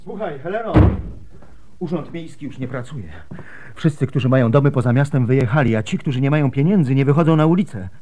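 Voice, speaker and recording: A man's voice: medium pitch, animated, fairly quick, warm tone, slight background noise, close to an everyday voice. An actor playing a worried, protective Byzantine husband and father in a radio drama, speaking earnestly. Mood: anxious, urgent.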